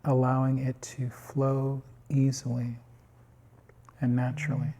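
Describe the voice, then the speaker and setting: Slow, husky man guiding meditation. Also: medium pitch, monotone, dark tone. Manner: measured, with short phrases and long pauses. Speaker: meditation teacher. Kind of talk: guided meditation.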